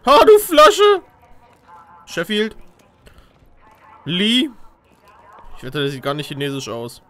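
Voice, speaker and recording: High-pitched, animated young man gaming. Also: slow pace. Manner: playful, exclaiming. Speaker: let's play gamer. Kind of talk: casual joking game commentary. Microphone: headset. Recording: game noise behind voice. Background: video game sounds.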